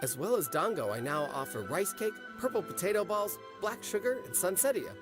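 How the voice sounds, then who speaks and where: A man's voice: high-pitched, animated, a little fast, over music. An actor playing an eager, earnest young man who runs a drink stall in a video game.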